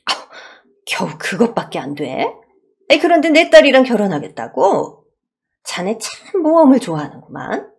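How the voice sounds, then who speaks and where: Woman acting out a scornful, stern mother-in-law, lively pitch. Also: moderate pace. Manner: condescending, blunt questioning. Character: stern, scornful middle-aged mother-in-law grilling a suitor.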